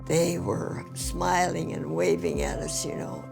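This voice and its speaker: An older veteran man reminiscing in a slow, halting way in an interview. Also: a little high, wildly swooping pitch, vocal fry. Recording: interview with music under it.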